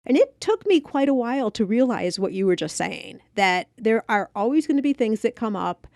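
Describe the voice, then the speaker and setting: A woman's voice: on the high side, big pitch swings, moderate pace, vocal fry, darkish tone, no room sound, clean studio sound. A woman hosting a conversational podcast interview in a chatty, affirming, casually self-deprecating way. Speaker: podcast host.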